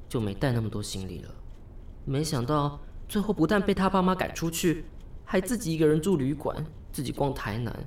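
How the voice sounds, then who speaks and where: A man's voice: mid-range voice, slight background noise, lightly acted. Actor as a wry, lovesick young Taiwanese man. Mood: exasperated, self-mocking. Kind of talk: radio drama acting with inner monologue.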